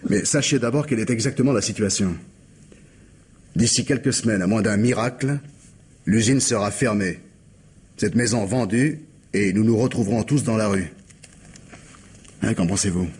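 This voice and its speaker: An actor playing a scheming, persuasive husband in a French radio drama, with an accent from France and an insistent, coaxing way of reasoning. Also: male voice, lowish voice, moderate pace, pauses often, darkish tone, husky. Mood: troubled, calculating. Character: scheming, persuasive husband desperate to save his home.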